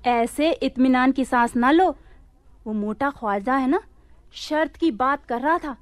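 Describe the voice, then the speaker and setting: An actress playing a loyal, wary wife and accomplice in a radio play. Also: female voice, high voice, a little slow, dry recording.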